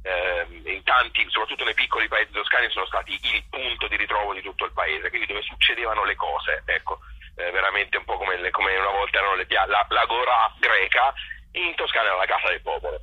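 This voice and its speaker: A Tuscan-accented man with a low, raspy voice and a very sharp, forceful edge, heard over a phone line on the radio. He speaks spontaneously and haltingly, often repeating small words as he searches for the next phrase. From provincial Tuscany, Italy. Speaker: interview guest, playwright and actor. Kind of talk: spontaneous phone interview talk. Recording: harsh telephone line on radio.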